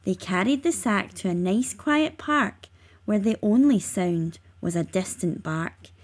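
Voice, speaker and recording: A woman reading rhyming children's narration aloud, expressively and with measured emphasis. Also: medium pitch, animated. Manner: measured, emphatic on sound words. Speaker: storybook reader.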